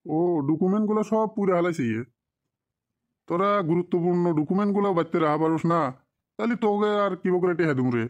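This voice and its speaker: Man acting callous cartoon boss, highish male pitch, scolding, dismissive, rural Bengali dialect, muffled low-fidelity sound. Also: fast, lightly acted. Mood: scornful. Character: callous, exploitative boss and his bullying henchman. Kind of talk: cartoon drama dialogue.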